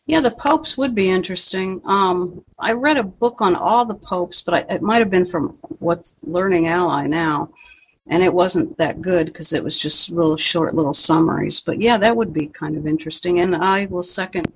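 A man's voice: sits high, moderate pace, lo-fi sound, a little hiss or hum. A man chatting casually as a member of a book discussion group.

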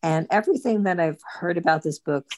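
A woman's voice: on the low side, lively intonation, brisk, a little mellow, no room sound, studio quality. A woman hosting a book group in a casual, conversational way.

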